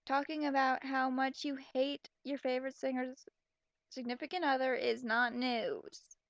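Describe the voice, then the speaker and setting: High creaky woman snarking over a story she's reading, exasperated, kinda muffled lo-fi sound. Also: flat delivery, unhurried, dry recording. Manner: sarcastic, exasperated. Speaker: commentator reading a story aloud. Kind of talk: mocking commentary on a read-aloud story.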